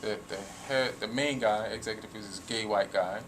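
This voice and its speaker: A man's voice: lowish voice, normal speed, a little hiss or hum. A man guesting on a radio talk show, chatting casually and joking with the hosts. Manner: laid-back, joking, laughs often. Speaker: guest comedy writer. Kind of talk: casual comedic chat.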